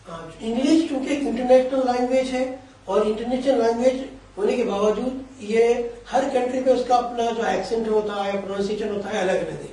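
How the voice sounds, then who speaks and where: A man's voice: very high-pitched, a little fast, dark tone. A man giving career guidance in an interview, explaining in long, winding sentences that mix Urdu-flavoured Hindi with English terms. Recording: echoey room, voice from a distance.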